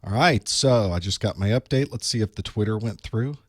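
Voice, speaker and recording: Hoarse man chatting before a stream. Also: lowish voice, fairly quick, no room sound, clean studio sound. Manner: chatty, wry, talks to himself while setting up. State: hoarse from a cold. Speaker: online course instructor. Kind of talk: casual pre-show chat to a live stream. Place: small studio desk.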